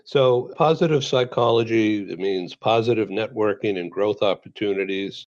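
A man hosting an online radio talk show, introducing a guest and asking questions in a formal, measured way. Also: lowish voice, a little mellow, no room sound, lo-fi sound. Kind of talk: scripted introduction, interview questions. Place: San Diego.

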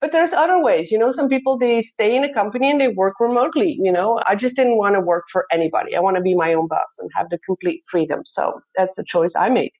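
A woman's voice: mid-range voice, fast, hardly any pauses, a little mellow, lo-fi sound. A woman from Belgium, a guest life coach, speaking in a casual and upbeat interview with a lot of emphasis.

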